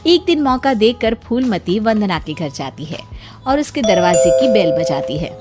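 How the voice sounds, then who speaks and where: A woman's voice: mid-range voice, big pitch swings, moderate pace, over loud music. A woman narrating a scripted cartoon story as its storyteller.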